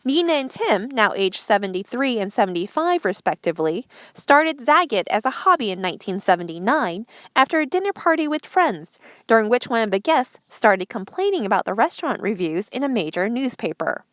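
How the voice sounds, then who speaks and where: A woman's voice: on the high side, sing-song, a little fast, a bit bright, no room sound, clean studio sound. A woman hosting a read-aloud news feature, narrating from a script. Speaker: host reading articles aloud.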